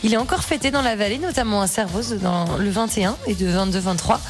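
A woman's voice: mid-range voice, quick pace, faint music behind. A woman co-hosting a morning radio show, teasing her colleague in casual banter. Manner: teasing, dry. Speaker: radio co-host and newsroom presenter. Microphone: studio microphone with headphones.

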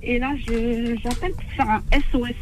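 A woman's voice: a little high, steady tone, moderate pace, low-quality recording. A woman calling in to a radio show, polite and concerned. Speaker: radio caller. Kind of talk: call-in radio chat.

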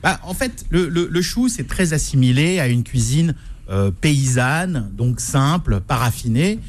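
A man's voice: medium pitch, sing-song, a little slow. A man co-hosting a food radio show as critic, repeating words and stammering while he thinks.

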